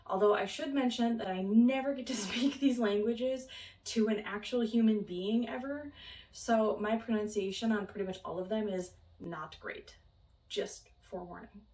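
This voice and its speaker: A woman's voice: medium pitch, normal speed, darkish tone. Chatty woman, echoey room, mic far off. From Minnesota, United States. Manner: rambling, many ums, light laughs. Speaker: vlogger. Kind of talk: casual chat. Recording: roomy, from off the mic.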